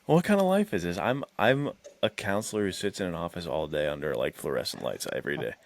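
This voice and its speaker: Low-voiced American man in casual chat. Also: sing-song, fast talker, creaky. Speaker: podcast co-host.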